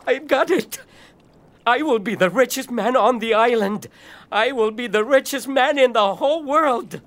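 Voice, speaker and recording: A man's voice: very high voice, lively intonation, a little slow, fairly bright tone, full character voice. A male actor playing a greedy, boastful, jealous man in a children's radio play, with theatrical, exclamatory delivery. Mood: gleeful gloating.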